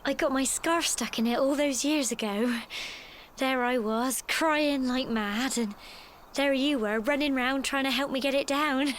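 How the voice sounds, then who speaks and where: A woman's voice: sits high, a little slow, slight background noise. An actress playing a sweet, spirited young village girl in a video game, with a British accent and an earnest, girlish manner. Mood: wistful. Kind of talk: scripted video game voice acting. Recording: loud music under the voice. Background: loud music.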